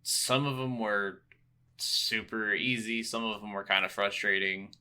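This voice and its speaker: A man's voice: lowish voice, steady tone, a little slow, pushed and bright, clean tone. A man co-hosting a podcast, chatting informally about video games and swearing freely. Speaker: podcast co-host. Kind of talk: casual video game review chat.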